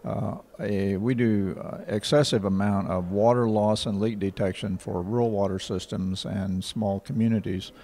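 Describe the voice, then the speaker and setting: Older man, low measured voice. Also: steady tone, dark tone. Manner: measured, factual, many "uh" pauses. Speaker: rural water association director. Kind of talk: interview answers. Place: indoor office in front of a painting. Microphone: handheld station mic.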